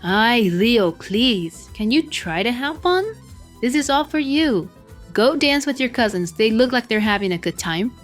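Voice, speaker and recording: A woman's voice: fairly high pitch, lively intonation, measured pace. A woman playing an exasperated middle-aged mother, scolding and coaxing in an audio drama. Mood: pleading. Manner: scolding, pleading. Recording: music behind the voice.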